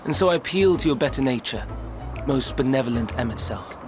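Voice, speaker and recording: A man's voice: mid-range voice, animated, brisk, close to an everyday voice. An actor playing an earnest, politely insistent man in a video game, with courteous, elaborate phrasing. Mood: earnest appeal. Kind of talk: video game cutscene acting. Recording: music behind the voice.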